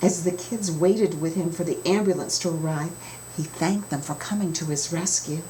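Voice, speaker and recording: A woman reading a children's storybook aloud with expressive, dramatic emphasis, as an author telling her own tale. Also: sits low, normal speed, a little hiss or hum, poor audio.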